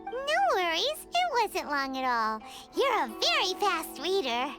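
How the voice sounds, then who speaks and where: A woman voicing a small, chirpy, curious fairy companion in a video game, with a squeaky childlike voice. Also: huge pitch swings, measured pace, exaggerated voice. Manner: refers to self by name, bubbly. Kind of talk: video game character acting. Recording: music playing behind the voice.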